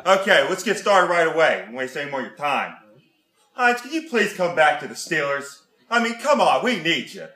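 A man's voice: fairly high pitch, sing-song, moderate pace, bright, forceful tone. A man doing a gushing superfan. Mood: gushing fan. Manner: gushing, rambling, sighing "oh jeez" asides. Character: brash middle-aged male Pittsburgh football fan. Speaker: sketch interviewer. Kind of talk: comedy sketch acting. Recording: roomy echoey space.

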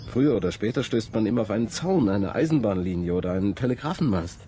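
Man acting brooding, intense low-voiced young student. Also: expressive, fast talker, mellow, slight background noise, natural voice. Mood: haunted, confiding. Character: troubled young male student haunted by his ancestor's memories. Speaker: radio play actor. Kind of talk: German radio drama dialogue.

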